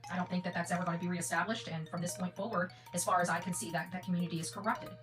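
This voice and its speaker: A woman's voice: lowish voice, little pitch movement, very fast. An American woman hosting an online channel, talking casually to viewers in a rambling, emphatic way that repeats phrases. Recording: distant mic, music behind.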